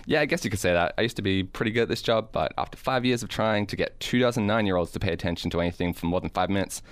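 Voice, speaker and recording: A man's voice: on the low side, racing, voice pushed up, lightly acted. Man as mild-mannered weary school teacher. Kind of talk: radio play dialogue.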